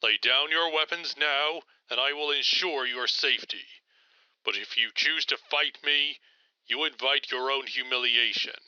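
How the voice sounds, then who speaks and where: A man's voice: mid-range voice, a little slow, bright, forceful tone, smooth, dry recording, highs cut off. A man playing an earnest, chivalrous armored superhero swordsman in an audio roleplay, speaking in formal, archaic, self-assured phrases. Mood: commanding, proclaiming. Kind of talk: scripted audio roleplay acting.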